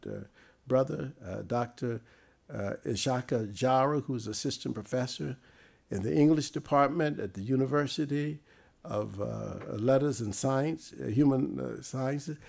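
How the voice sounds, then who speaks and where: An older man introducing a guest speaker in a halting, formal way, full of pauses, at a desk microphone in a lecture room. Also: mid-range voice, creaky, breathy edge.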